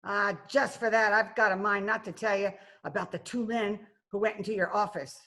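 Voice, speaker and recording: A woman's voice: mid-range voice, fairly even intonation, moderate pace, bright, forceful tone. An actress playing a brash, joking, aging cleaning woman in an old-time radio detective drama. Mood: wry. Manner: brassy, joking banter. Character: brash, wisecracking aging scrubwoman, tough and sporty. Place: at home, recorded remotely.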